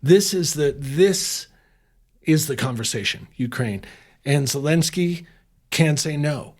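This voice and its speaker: A man's voice: on the high side, animated, takes it slowly, a little mellow. A man guest in a conversational interview, speaking in an impassioned, emphatic way with frequent restarts. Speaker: interview guest, former chef turned humanitarian.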